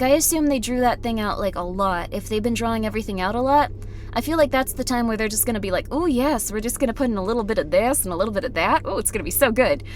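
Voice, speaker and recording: A tired woman streaming a game, chatting casually in a slightly raspy voice, rambling with many fillers. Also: a little high. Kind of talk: casual chat while playing a game.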